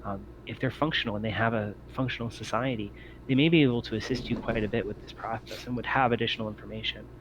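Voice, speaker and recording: A man playing a stranded spaceship survivor in a tabletop game, cautiously helpful, with measured and hesitant speech. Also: fairly low pitch, natural voice. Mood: cautiously hopeful. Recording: faint background noise.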